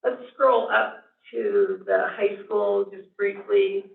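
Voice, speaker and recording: A woman's voice: mid-range voice, sing-song, slow pace, creaky, slight background noise. A woman giving a finance report at a school board meeting, plain-spoken and thinking aloud with fillers. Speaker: school district finance officer. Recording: echoey room, far from mic, thin lo-fi line.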